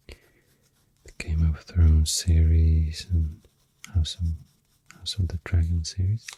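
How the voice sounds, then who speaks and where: A woman with a Swedish accent doing soft-spoken ASMR, hushed and halting, with a deep, breathy, often whispery voice close to the mic. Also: no room sound. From Sweden. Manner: slow, hushed, halting with long pauses. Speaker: ASMR host. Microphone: close microphone. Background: soft pencil and clicking sounds.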